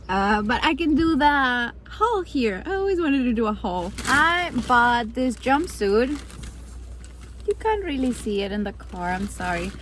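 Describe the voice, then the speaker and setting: A young woman whose first language is Spanish talks in a casual, chatty vlog style. Her voice sits fairly high. The informal recording carries faint background noise. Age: 20s. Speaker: vlogger.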